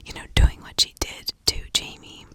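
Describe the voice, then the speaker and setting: A woman hosting an ASMR video, talking informally and personally in a hushed, confiding way. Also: normal speed.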